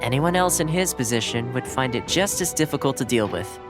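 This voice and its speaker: A man's voice: medium pitch, expressive, fairly quick, close to an everyday voice. A man playing a sober, sympathetic retainer in a video game, speaking formally. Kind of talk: scripted game voice acting. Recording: music behind the voice.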